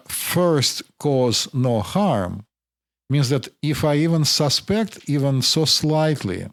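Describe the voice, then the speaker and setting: A man from Russia with a Russian accent, hosting as a physician and explaining things in a measured way. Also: mid-range voice, no room sound, studio quality. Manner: explanatory, measured, interjects often. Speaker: physician host. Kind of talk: conversational podcast discussion.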